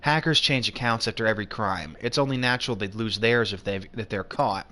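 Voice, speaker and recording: A man's voice: fairly low pitch, brisk, bright, forceful tone. A man narrating as a subdued teenage boy in a game. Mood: glum.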